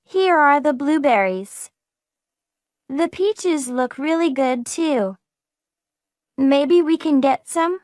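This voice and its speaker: A woman reading a friendly everyday speaker in a slow, carefully spaced practice dialogue for English learners. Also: high voice, animated, a little mellow, no room sound, clean studio sound. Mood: plain.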